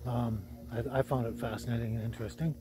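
A man's voice: medium pitch, fairly even intonation, quick pace. An older man chatting casually to camera in a hesitant, rambling way. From Canada. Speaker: vlogger, host. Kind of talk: casual unscripted vlog talk. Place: outdoors at a forest campsite and inside a vehicle canopy. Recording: clear background noise, handheld outdoor audio.

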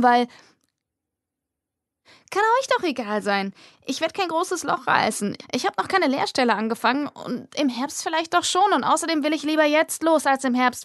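A woman voicing a defiant fourteen-year-old girl giving a nervous speech in an audiobook, with a slightly gravelly voice. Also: sits high, no room sound, studio quality, voice pushed up.

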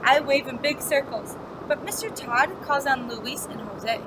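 A young woman with a high voice reads a children's story aloud in a teacherly way, using simple phrasing for little ones. The recording has clear background noise, picked up by a webcam mic. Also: a little slow. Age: young adult. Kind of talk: picture-book read-aloud with asides to children. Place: indoor classroom, seated.

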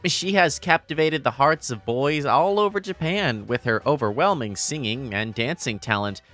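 A man's voice: medium pitch, lively intonation, normal speed, fairly bright tone, faint music behind, lightly acted. A man playing an easygoing teenage boy narrating a romantic comedy manga story, explanatory and fondly exasperated. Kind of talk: scripted manga narration and dialogue.

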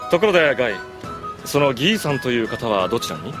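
An actor playing a calm military colonel who is polite, unruffled and dryly mocking, in an anime audio drama. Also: male voice, medium pitch, fast, over music, lightly acted. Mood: polite, composed. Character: suave, sardonic military colonel, calm and teasing.

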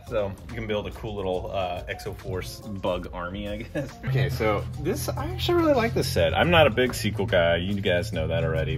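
A young man with a Midwestern American accent, chatting enthusiastically as a vlog co-host while browsing a toy store, filmed on a handheld phone. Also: on the low side. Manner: chatty, enthusiastic, laughs often. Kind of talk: casual shopping vlog chat.

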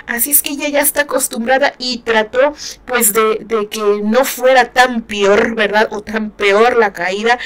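A woman's voice: mid-range voice, fairly bright tone. A middle-aged woman, chatting casually about a novel she reads aloud, laughing often and using many fillers. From Mexico. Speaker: book reviewer. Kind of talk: casual chat, reading and commenting on a novel.

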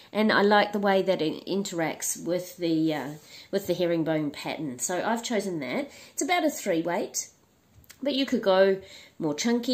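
A woman hosting a crochet tutorial, her voice husky and hoarse from the flu, chatting casually with frequent ums and restarts. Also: mid-range voice. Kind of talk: casual instructional talk.

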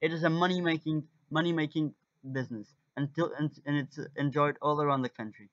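A young man in his teens presents an amateur documentary in Portuguese-accented English. His voice sits a little high for a man. The recording is dry, with hardly any room in it. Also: fairly even intonation, a little fast, dry recording, lo-fi sound. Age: teenager. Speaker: student presenter and guide.

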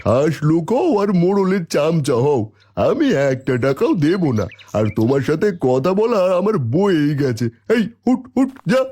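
A man's voice: a little high, very animated, moderate pace, warm tone. A man voicing a defiant, plain-spoken village cowherd in a children's cartoon, with an indignant manner. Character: defiant village cowherd, newly able to reckon. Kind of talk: Bengali children's cartoon voice acting.

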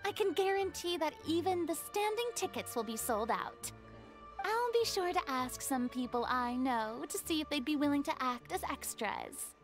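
A woman's voice: sits high, expressive, normal speed. A woman voicing a proud, theatrical young woman in a game, grandiose and boastful. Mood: haughty. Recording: game audio with music behind.

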